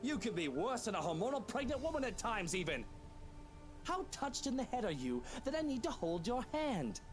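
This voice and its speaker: Arrogant businessman husband, scornful and volatile, big pitch swings, game music behind. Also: sing-song, normal speed. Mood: scornful rage. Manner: sneering, belligerent, scornful. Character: arrogant, hot-tempered, foul-mouthed wealthy husband, a businessman. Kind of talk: dramatic visual novel voice acting.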